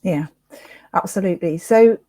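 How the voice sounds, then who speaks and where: A woman's voice: lowish voice, moderate pace, warm tone, no echo. A British woman talking in a conversational interview, earnest and informal in her manner. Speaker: interviewer and suicide prevention campaigner.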